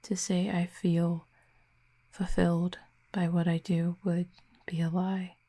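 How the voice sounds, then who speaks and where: A woman playing a gentle, wistful cupid exiled from her home, talking softly and slowly to the listener, often close to a whisper, in an audio roleplay. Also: on the low side, level tone. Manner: soft, hushed and slow, often near a whisper.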